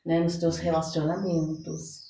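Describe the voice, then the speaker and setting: A woman's voice: deep voice, little pitch movement, unhurried, mellow. A Brazilian woman from São Paulo speaking as an interview guest, telling personal stories conversationally and often adding little tag questions. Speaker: podcast guest, mentor. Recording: echoey room, distant mic.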